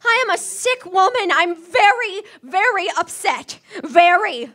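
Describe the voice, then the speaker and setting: A woman's voice: very high voice, sing-song, unhurried, bright, forceful tone, full character voice. A woman playing a nervous, demanding invalid wife, stammering and pleading in a 1940s radio suspense drama. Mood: agitated, demanding. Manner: agitated, stammering, imperious, pleading.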